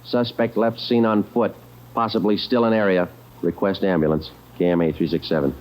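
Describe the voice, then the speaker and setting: An actor playing a terse police detective, clipped and matter-of-fact in hardboiled 1940s radio style. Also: male voice, on the low side, little pitch movement, moderate pace, a little mellow, lightly acted, low-quality recording. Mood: brisk, official. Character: terse, businesslike male police detective narrating his case. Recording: old 1940s radio recording.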